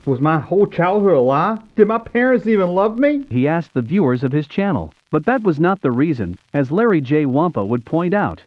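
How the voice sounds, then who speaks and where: A man's voice: fairly high pitch, very animated, moderate pace, few pauses. An American man in his fifties narrating nostalgically in a scripted storybook style. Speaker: YouTube show host. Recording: tinny phone-like narrow-band sound.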